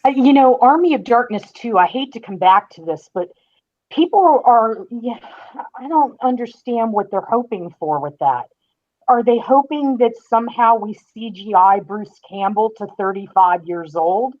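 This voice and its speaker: A woman guest on a podcast, giving casual fan commentary in an emphatic, deliberate way. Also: on the high side, animated, muffled recording.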